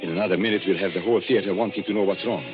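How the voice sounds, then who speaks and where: An actor playing a suave, commanding foreign gentleman sleuth in a 1940s radio drama, speaking calmly and precisely. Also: low voice, racing, music in the background, close to an everyday voice. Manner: calm, precise, authoritative. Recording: dull old radio broadcast recording.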